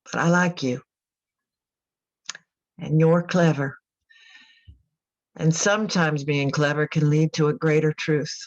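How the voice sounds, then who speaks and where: A troubled country mother in a radio play, confiding and thoughtful. She speaks very slowly in a lowish voice, leaving pauses as she reflects. The sound is slightly dull and muffled. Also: steady tone. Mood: gently confiding. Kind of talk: radio drama monologue.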